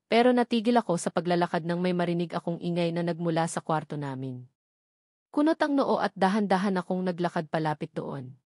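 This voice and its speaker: A woman's voice: fairly low pitch, a little mellow, no echo. Woman narrating a romance novel, close-up sound. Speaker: audiobook narrator. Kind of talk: dramatic first-person romance novel narration.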